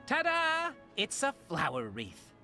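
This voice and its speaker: An actress playing a playful, teasing and outgoing young woman in a video game, with a breezy, expressive delivery. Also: on the high side, takes it slowly, faint music behind, exaggerated voice. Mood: cheerful.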